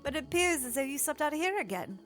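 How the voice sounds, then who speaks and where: A woman playing an eager, proper, playful young princess in an audio drama, with formal, expressive phrasing. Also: sits high, quick pace. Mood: doubtful.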